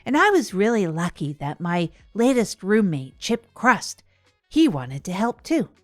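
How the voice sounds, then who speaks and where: A woman's voice: mid-range voice, sing-song, measured pace, dry recording, clean studio sound. Woman playing a chatty, rambling show host over music. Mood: chatty, wry. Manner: conversational, digressive, self-deprecating. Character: chatty, rambling radio show host chronicling self-improvement attempts. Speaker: host and narrator. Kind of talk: improvised comedy audio drama narration.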